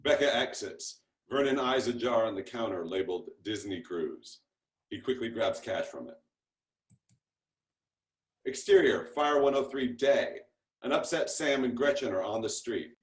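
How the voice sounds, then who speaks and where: A man's voice: medium pitch, expressive, measured pace. A man reading scripted stage directions as narrator at a table read over a video call. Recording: echoey room on a video call.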